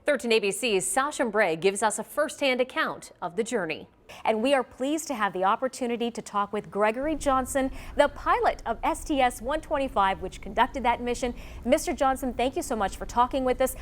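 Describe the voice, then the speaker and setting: American woman news anchor, higher voice. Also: animated, a little fast. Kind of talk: broadcast news presenting and interviewing.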